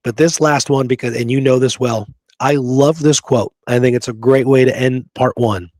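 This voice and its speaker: A man's voice: medium pitch, steady tone, moderate pace, a little mellow, dry recording, studio quality. A man hosting a history discussion, talking informally with emphatic opinions. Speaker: host of a history show.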